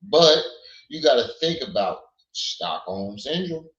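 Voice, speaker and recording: A hoarse man hosting a casual livestream, blunt and quick to laugh while greeting his chat. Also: medium pitch, very animated, a bit bright, lo-fi sound. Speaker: livestream host.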